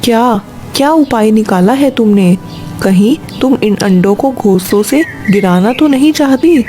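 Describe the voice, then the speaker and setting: A woman's voice: fairly high pitch, expressive, unhurried, mellow. A woman voicing a meek, submissive male crow husband in a cartoon story, questioning and easily bossed. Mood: doubtful. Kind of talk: Hindi cartoon story dialogue. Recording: faint music behind the voice.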